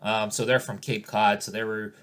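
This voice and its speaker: A man's voice: on the low side, little pitch movement, moderate pace, fairly bright tone. A man casually showing off his recent record finds, with many ums and rambling asides. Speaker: record collector vlogger. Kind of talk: casual record show-and-tell.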